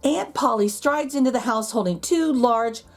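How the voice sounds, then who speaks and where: A woman reading a children's picture book aloud in expressive narration, with deliberate dramatic emphasis. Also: on the high side. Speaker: children's storybook reader. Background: music.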